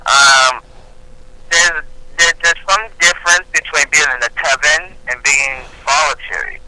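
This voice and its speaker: A man taking part in a conference call, explaining his views earnestly in a halting, searching way. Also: a little high, fairly even intonation, bright, forceful tone, no room sound, poor audio. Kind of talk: casual discussion. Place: remote voice on an internet conference call.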